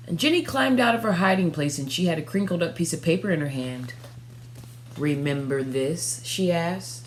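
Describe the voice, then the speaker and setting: A woman in her thirties reading a picture book aloud for children, with expressive, deliberate phrasing. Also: lowish voice. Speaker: storytime reader. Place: small furnished room at home, indoors. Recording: faint background noise and hum.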